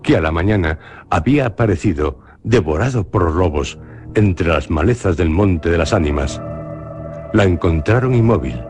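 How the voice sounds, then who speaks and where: A Spanish man narrates a dark legend in a very deep voice. He reads slowly and solemnly, like a radio storyteller. Clear music plays behind him throughout. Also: expressive. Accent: Spanish from Spain. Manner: slow, measured, solemn storytelling. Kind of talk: dramatic radio reading of a horror legend.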